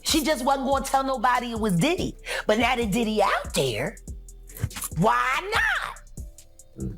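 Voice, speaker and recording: A woman's voice: a little high, sing-song, unhurried, vocal fry, fairly bright tone, soft background music. A woman chatting casually in an interview, blunt, slangy and profane.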